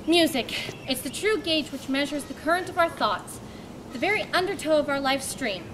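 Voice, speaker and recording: A woman's voice: high-pitched, lively intonation, normal speed. A woman playing a lofty male hermit philosopher in a homeschool dramatic reading, solemn and dismissive. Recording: loud background noise.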